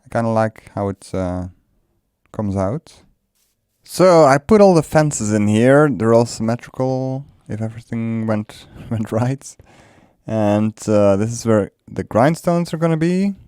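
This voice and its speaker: A man's voice: medium pitch, lively intonation, unhurried, darkish tone, clean tone, no echo, clean studio sound. A man whose first language is Dutch, casually commenting while he builds in a game, musing aloud and laughing often. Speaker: let's play creator.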